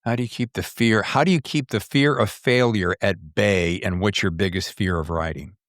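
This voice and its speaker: Animated male podcast host, dry close sound. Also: lowish voice, normal speed, no echo. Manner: animated, jokey, digressive, excitable. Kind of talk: casual conversational interview.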